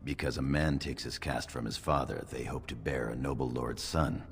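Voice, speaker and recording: An actor playing a loyal male dwarf knight in a video game, formal and measured, with a deep gravelly voice. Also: fairly even intonation. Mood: respectful.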